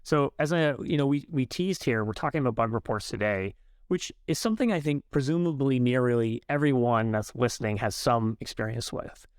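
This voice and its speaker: A man's voice: medium pitch, expressive, a little fast, clean tone, dry recording, studio quality. A man hosting an interview podcast, asking questions conversationally with frequent fillers. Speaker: podcast host.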